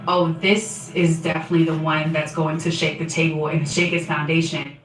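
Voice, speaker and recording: A woman speaking in a documentary interview, measured and reflective. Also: deep voice, little pitch movement, soft background music. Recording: echoey, distant documentary clip.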